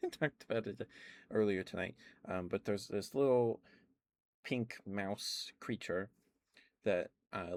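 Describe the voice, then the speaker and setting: Very deep-voiced man rambling softly. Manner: rambling, many ums and uhs; relaxed, quiet and unhurried. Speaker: ASMR streamer. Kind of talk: soft-spoken ASMR rambling about games.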